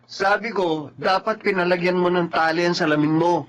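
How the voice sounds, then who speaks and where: A man's voice: high-pitched, steady tone, normal speed, a bit bright, lo-fi sound. A man acting a needling, mocking office colleague in a Filipino TV drama, with a Filipino accent. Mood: teasing.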